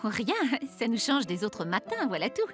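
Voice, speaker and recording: A woman voicing a fussy, gently mocking grown-up lady in a cartoon, arch and theatrical. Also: medium pitch, wildly swooping pitch, normal speed. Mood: arch teasing. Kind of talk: French animated cartoon dub. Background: music.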